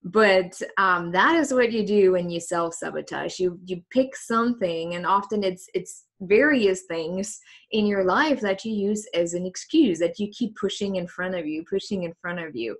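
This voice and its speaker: A woman's voice: lowish voice, moderate pace. A woman talking openly in a casual interview, reflective and thoughtful, with frequent ums and restarts. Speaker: host.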